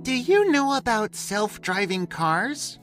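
A woman with a hugely animated intonation reads scripted lesson dialogue. She keeps each simple sentence separate and slow. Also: on the high side, faint music behind.

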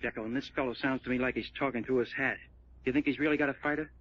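An American actor playing an earnest, hot-headed young rancher in an old-time radio drama, blustering and full of doubt. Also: male voice, mid-range voice, fairly even intonation, racing, a little hiss or hum, natural voice. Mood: earnest, suspicious. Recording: muffled vintage radio recording.

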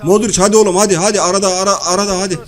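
Casting: male performer as crude, high-voiced comic everyman, slangy and blustering, nagging through cartoon game banter. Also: steady tone, racing, close to an everyday voice. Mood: bossy, heckling. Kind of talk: comic video-game banter and match commentary.

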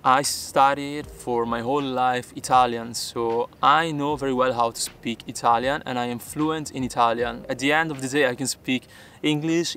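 A man's voice: medium pitch, fairly bright tone. A young man from Peru, a native Spanish speaker, answering viewer questions in careful, earnest English while sitting outdoors by the water. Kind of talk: casual vlog Q&A.

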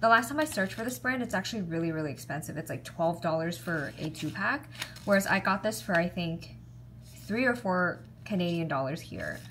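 A woman's voice: lowish voice, lively intonation, fairly quick, fairly bright tone, a little hiss or hum. A young woman in her twenties, chatting casually and upbeat in a travel vlog on a lapel mic. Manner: chatty, upbeat, rambling with many "like"s. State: sniffling after a shower. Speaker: travel vlogger. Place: hotel room, indoors.

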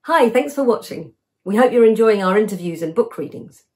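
A woman's voice: mid-range voice, animated, fairly quick. A woman in her thirties delivering a scripted promotional outro to camera as a presenter. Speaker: channel presenter. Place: indoors against plain backdrop.

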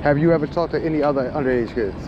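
A man's voice: fairly high pitch, racing, highs cut off. A man in a confrontational street exchange, insistently repeating his questions and accusations as host of an on-camera confrontation. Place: large open parking lot at night. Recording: very noisy outdoor action-camera audio.

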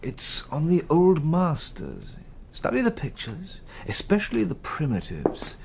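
A man's voice: on the high side, wildly swooping pitch, unhurried, gravelly, slight background noise, full character voice. An actor playing a suave, worldly, casually generous man in a radio drama. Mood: genial, instructive.

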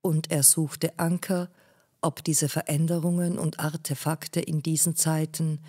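A woman reading scripted literary narration in a measured way, as an audiobook narrator. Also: low-pitched, dark tone.

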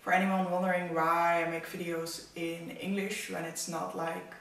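A woman's voice: lowish voice, level tone, moderate pace, a bit bright. A young woman with a Dutch accent, a native Dutch speaker, chatting casually to camera as a fashion vlogger in her living room. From Netherlands. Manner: chatty, opinionated about outfits. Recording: echoey room, mic at a distance.

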